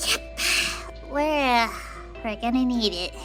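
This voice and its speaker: A woman playing in a tabletop roleplay podcast, talking casually in a halting way, often repeating words. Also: on the high side, animated, faint music behind.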